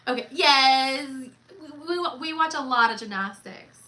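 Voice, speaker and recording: A woman's voice: high-pitched, animated, measured pace, bright, forceful tone, rough recording. A woman in her thirties co-hosting a cruise livestream, chatting casually with her friend and teasing her with frequent laughter. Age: 30s.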